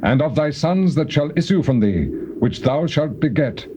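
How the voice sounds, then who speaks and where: A man playing a stern prophet in a scripture drama, proclaiming gravely and emphatically in archaic theatrical style. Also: on the high side, lively intonation, moderate pace, natural voice. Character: Isaiah, stern male prophet proclaiming divine judgment. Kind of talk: dramatized King James scripture, theatrical declamation. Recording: dull, noisy old cassette tape.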